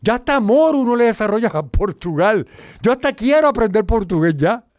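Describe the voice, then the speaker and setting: Puerto Rican male host, animated and emphatic, lively pitch, dry studio sound. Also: a little fast, no room sound. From Puerto Rico. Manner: animated, emphatic, swings between low asides and loud exclaims. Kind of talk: casual educational talk.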